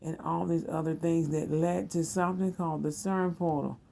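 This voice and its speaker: An American speaker in their thirties with a very dark, mellow tone and plenty of gravelly fry. The delivery is a casual, wandering monologue to viewers, full of repeated phrases and side trips. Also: mid-range voice, fairly even intonation, moderate pace, a little hiss or hum. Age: 30s. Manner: repeats words and phrases, digressive. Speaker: tarot and psychic reading video host.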